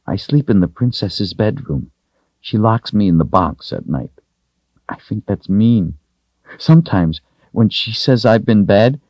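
An earnest, timid little servant girl from a storybook, acted out by a man, pitched up from his deep natural voice. The phrasing is simple and childlike, with a husky edge and a dry sound. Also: darkish tone, no echo, dull audio, heavily acted.

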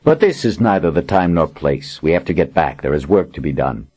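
A man's voice: a bass voice, animated, a little fast, darkish tone, breathy edge, full character voice. A man voicing a cruel, arrogant usurping lord who speaks in a cold, commanding, menacing way. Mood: gloating. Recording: dull lo-fi audiobook recording.

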